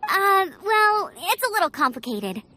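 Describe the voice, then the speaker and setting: A woman's voice: extremely high pitch, big pitch swings, a little slow, pushed and bright, full character voice. A woman playing a friendly, helpful young female knight in a video game, explaining things earnestly. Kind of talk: animated game dialogue.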